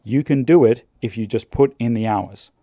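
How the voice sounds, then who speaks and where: A man calmly narrating scripted language-learning advice in a measured, earnest way. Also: medium pitch, no echo, studio quality.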